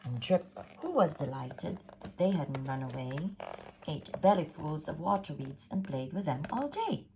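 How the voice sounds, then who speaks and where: A woman reading a rhyming children's story aloud in a theatrical, sing-song, expressive way. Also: low voice, unhurried, creaky, noisy background. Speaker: storybook reader.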